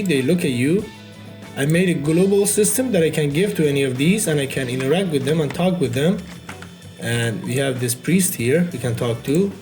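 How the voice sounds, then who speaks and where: A man's voice: fairly high pitch, a little mellow. A man whose first language is Farsi gives a loose, unedited walkthrough of his indie game. He keeps filling gaps with "you know". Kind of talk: casual unedited devlog walkthrough.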